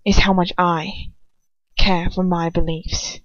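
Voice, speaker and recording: A woman's voice: fairly low pitch, little pitch movement, takes it slowly, no echo. Woman as cruel aristocratic wizard man for an audiobook, bitter, muttering, hot-tempered; dull, dry sound. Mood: grim, intense. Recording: dull, lo-fi, dry home recording.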